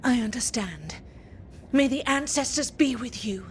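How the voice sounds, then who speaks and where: A woman's voice: a little high, expressive, a little slow, slight background noise. A woman playing a formal, wary dwarf in a video game, speaking cautiously. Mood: solemn warning.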